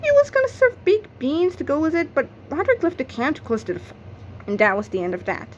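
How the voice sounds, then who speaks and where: Female narrator for a children's comic novel read-aloud, voice a little high, recorded with some background noise. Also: huge pitch swings, fast. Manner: acts out sound effects and exclamations. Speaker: book narrator.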